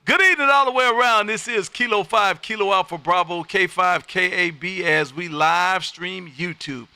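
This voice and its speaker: Bright, forceful man on ham radio. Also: high-pitched, normal speed, clean tone, dry recording. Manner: loud, folksy, animated radio patter. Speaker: amateur radio operator and livestream host. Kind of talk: casual radio chat. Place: home radio room.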